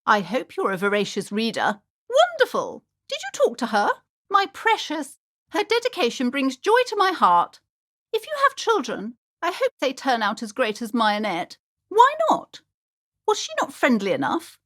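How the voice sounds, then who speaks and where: Haughty shopkeeper mother, high voice, extremely animated intonation, theatrical, judgmental, superstitious, high-strung, video game character. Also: normal speed. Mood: pushy, ingratiating, then gushing, proud, then indignant, prickly. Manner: theatrical, imperious, swooping between sweet and scolding.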